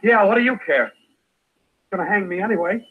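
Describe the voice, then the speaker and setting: Desperate captive outlaw, high-pitched and bitter, radio western, thin old recording. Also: male voice, lively intonation, a little fast, narrow, tinny audio. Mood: bitter defiance. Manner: bitter, defiant, strained. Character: bitter, desperate captured man facing hanging.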